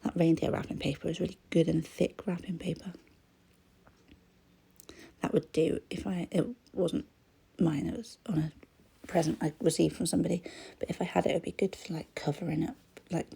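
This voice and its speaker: Woman rambling over her crafting, croaky and gravelly, low mellow voice. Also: flat delivery, normal speed. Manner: rambling, chatty, often drops to a whisper. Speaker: crafting vlogger. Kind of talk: casual voice-over chat while crafting.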